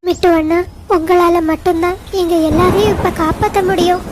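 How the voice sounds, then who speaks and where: A woman's voice: very high-pitched, fairly even intonation, brisk, voice pushed up. A woman voicing a small, clever, kind female sparrow in a cartoon story, earnest and reassuring. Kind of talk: Tamil cartoon story voice acting. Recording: noisy track with outdoor ambience.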